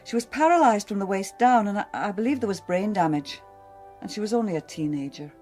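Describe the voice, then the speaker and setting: A woman's voice: mid-range voice, animated, brisk, darkish tone, faint music behind, close to an everyday voice. A woman acting in a radio drama as a playful, gossipy wife who needles her husband. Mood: chatty, gossipy. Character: teasing, gossipy wife of a police inspector. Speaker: actor.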